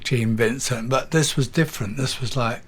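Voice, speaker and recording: An older English man, a guest drummer, telling stories in a casual interview, halting with many fillers and repeated words. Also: mid-range voice, slight background noise. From England.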